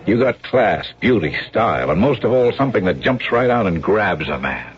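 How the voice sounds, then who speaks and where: Brash American showbiz agent, low voice, slangy hard sell, radio drama, muffled lo-fi broadcast. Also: male voice, sing-song, moderate pace. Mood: pushy persuasion. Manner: cocky wisecracking hard sell. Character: brash, slangy, persuasive showbiz talent agent, short man. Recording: dull, faintly noisy radio broadcast recording.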